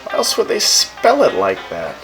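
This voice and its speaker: A man's voice: mid-range voice, moderate pace. A man voicing a brash, opinionated radio host who teases his guests and makes swaggering speeches, in a fan radio drama. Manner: swaggering, teasing, lively jokes and speeches. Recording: music playing behind.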